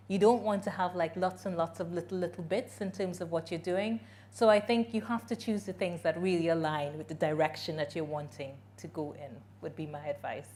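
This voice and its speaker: Trinidadian woman, middle-aged, lowish voice, earnest conversational Q&A answer. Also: a little mellow. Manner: earnest, conversational, with frequent "you know" and restarts. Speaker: invited speaker answering audience questions. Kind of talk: conference Q&A answer.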